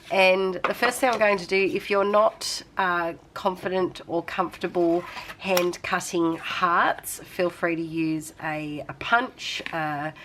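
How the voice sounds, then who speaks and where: A woman's voice: fairly low pitch, fairly bright tone, a little hiss or hum. A young woman with a slight cold, chatting casually and rambling through a craft tutorial at her desk, with lots of fillers. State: coming down with a cold. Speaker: craft channel host.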